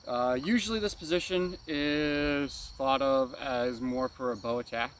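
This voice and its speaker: A man's voice: mid-range voice, a little slow. A man giving a casual, hands-on martial arts lesson as an instructor, often checking understanding and punctuating moves with exclaimed sound words. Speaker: karate weapons instructor. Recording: distant mic, noisy outdoor ambience with insects.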